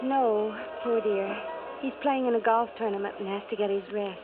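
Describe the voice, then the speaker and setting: A woman's voice: medium pitch, fairly even intonation, normal speed, close to an everyday voice. Woman playing a flirtatious radio society heiress. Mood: coy. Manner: breezy, coquettish. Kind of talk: 1950s radio drama acting. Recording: tinny old radio, music behind.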